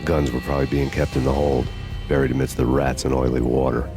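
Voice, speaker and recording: Very deep, deadpan hardboiled cop. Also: male voice, a little fast, over music. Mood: brooding. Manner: deadpan, wry. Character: jaded, sardonic hardboiled cop, adult man. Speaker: game protagonist and narrator. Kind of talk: hardboiled noir game narration.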